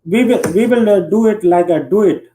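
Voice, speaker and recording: A man's voice: high voice, moderate pace, dark tone. A man in a casual conversation who talks hesitantly and leans on fillers.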